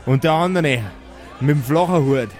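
A hearty old-world dwarf innkeeper, played by a man for a fantasy tabletop game. He speaks with a Bavarian accent in a familiar, earthy way. The voice sits a little high, with soft music underneath. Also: normal speed, a faint crowd, lightly acted. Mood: hearty, teasing. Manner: folksy, familiar tavern banter.

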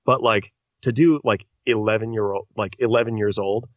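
Man, voice actor guest, earnest and talkative, deep-ish voice, dry muffled recording. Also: steady tone, normal speed, dry recording. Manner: earnest, talkative, explains at length. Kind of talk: casual podcast interview.

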